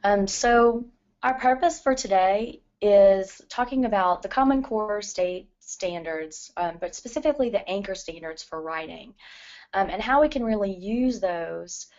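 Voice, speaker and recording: A woman's voice: medium pitch, measured pace, darkish tone. Scratchy-voiced woman giving a talk. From Louisiana. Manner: frequent ums, careful and earnest. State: scratchy voice from allergies. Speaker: literacy professor and adult educator. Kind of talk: presentation to educators. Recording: dull lo-fi recording.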